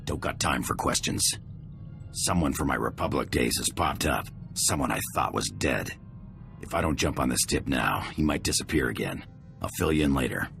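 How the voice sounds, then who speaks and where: A brooding ex-soldier rogue, guarded and curt. His extremely low, gravelly game-character voice sits over faint music. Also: lively intonation, normal speed, fairly bright tone, heavily acted. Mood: urgent. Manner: clipped, curt. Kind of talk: video game voice acting.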